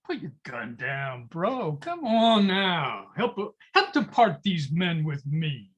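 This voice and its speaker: A man's voice: a little high, big pitch swings, slow, a bit bright, slightly rough, highs cut off, full character voice. A man playing an earnest, put-upon peacemaker in a dramatic script read over a video call. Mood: pleading. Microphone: home microphone on a video call.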